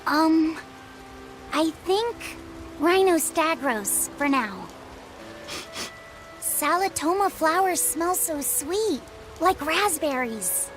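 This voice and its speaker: Uma mulher dublando um menino jovem, sincero e curioso, de fala gentil e hesitante. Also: female voice, very high voice, animated, very slow, pauses often, faint music behind, full character voice, low-quality recording. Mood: earnest. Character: earnest curious young boy. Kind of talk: game dub acting.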